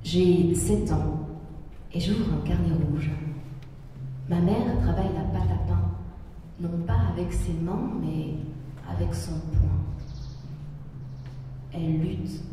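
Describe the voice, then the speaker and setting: A woman's voice: fairly low pitch, steady tone, slow pace, long pauses, warm tone, slight background noise. Woman reciting in an echoing hall. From northern France. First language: Berber. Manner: reflective, poetic delivery. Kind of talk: spoken-word performance. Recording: roomy hall recording from far off with music.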